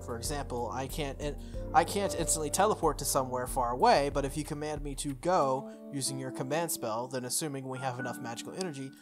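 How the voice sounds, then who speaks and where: A sardonic male warrior servant, read by a game player, lectures in a condescending, explanatory manner. The mid-pitched visual novel dialogue plays over music. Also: fast talker, close to an everyday voice. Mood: lecturing. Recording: music under the voice.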